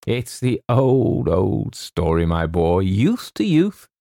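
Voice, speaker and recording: A man's voice: lowish voice, very animated, slow pace, vocal fry, dark tone, no room sound, heavily acted. Man playing a sentimental, faltering old English colonel. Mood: wistful, resigned. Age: older. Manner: hesitant, repeats himself, stumbles over names. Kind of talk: theatrical audiobook character voice.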